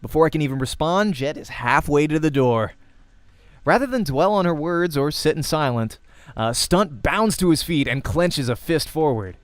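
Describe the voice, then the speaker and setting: A man's voice: a little high, lively intonation, moderate pace, dry recording. A man reading a visual novel aloud as the host of a let's play, narrating in character voice and prose. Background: music.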